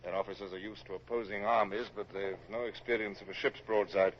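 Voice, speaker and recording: An actor playing a humane but commanding British naval captain in a radio drama, speaking in a clipped, formal, authoritative way. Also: male voice, fairly low pitch, fairly even intonation, a little fast, close to an everyday voice. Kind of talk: radio drama acting and first-person narration. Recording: dull, noisy vintage radio recording.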